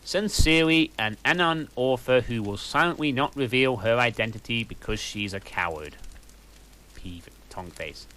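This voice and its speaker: A man reading fan fiction aloud in a deadpan, mocking way, even reading out the punctuation. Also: medium pitch, moderate pace, rough recording. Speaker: reader.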